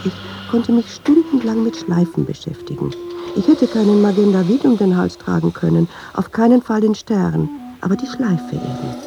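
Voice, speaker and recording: A woman's voice: mid-range voice, gravelly, lo-fi sound. An actress playing a candid, uneasy middle-aged woman in a radio drama, speaking reflectively in short, observant clauses. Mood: uneasy, observing. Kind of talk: radio drama dialogue and inner monologue.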